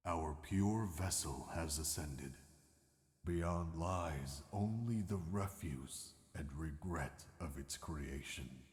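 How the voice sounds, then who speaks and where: A man's voice: very deep voice, slow, a little mellow, voice pushed down, exaggerated voice. A man voicing a solemn, regal ancient being in a video game, with grave and formal delivery. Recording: echoey processed reverb.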